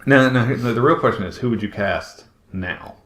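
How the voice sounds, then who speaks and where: A man's voice: mid-range voice, moderate pace, fairly bright tone. A man co-hosting a podcast, talking casually about films in a conversational, opinionated way. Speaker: podcast co-host. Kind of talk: casual film discussion chat.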